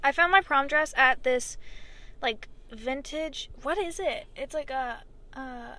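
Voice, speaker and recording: A woman's voice: high-pitched, slow, pushed and bright. A teenage girl co-hosting a casual podcast with friends, giggly and chatty with lots of ums and likes. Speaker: podcast co-host. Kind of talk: casual chat among friends.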